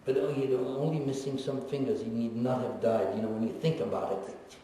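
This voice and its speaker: A man in his seventies speaks in a mellow, darker voice, reflective and gently teasing, with many pauses. He is picked up from a distance, and the sound rings through a large echoey hall. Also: medium pitch, steady tone, a little hiss or hum. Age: 70s. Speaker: guest speaker, Holocaust survivor. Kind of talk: personal testimony in a lecture Q&A. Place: lecture hall. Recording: echoey hall recorded from far off.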